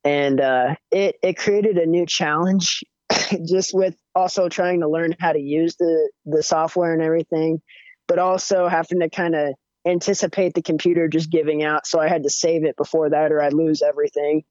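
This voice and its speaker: A young man with a higher-pitched voice, chatting casually as a musician guest with lots of fillers and restarts. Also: moderate pace, dry recording. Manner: relaxed, rambling, many fillers and restarts. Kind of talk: casual interview chat. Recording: dull, muffled audio.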